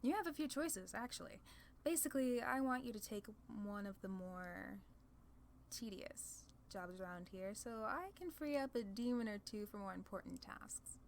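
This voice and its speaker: Girl doing a smug demon queen for asmr roleplay, teasing and bossy, mid pitch, bit of background noise. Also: normal speed, gravelly, lightly acted. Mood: businesslike, amused. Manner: condescending, playful, theatrical. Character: haughty, teasing female demon lord, amused and sly.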